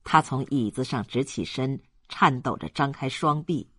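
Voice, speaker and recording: A man's voice: medium pitch, normal speed, bright, forceful tone, breathy edge, dry recording, studio quality. A man narrating a novel in a dramatic, scripted audiobook style.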